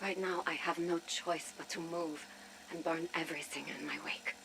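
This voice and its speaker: An actress playing a rogue intelligence operative in a game audio log, speaking with dry deadpan humour and deliberate phrasing through a radio filter. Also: female voice, on the low side, gravelly, low-quality recording. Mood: grave, hushed. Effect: radio transmission filter. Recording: hissy radio transmission with static.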